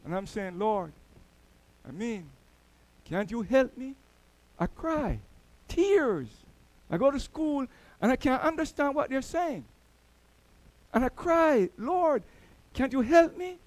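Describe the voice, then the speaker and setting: A middle-aged man from Jamaica with a Jamaican accent, preaching a sermon as a church elder and telling stories that swell louder on key words. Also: extremely high pitch, lively intonation, slow, pauses often, no echo, rough recording.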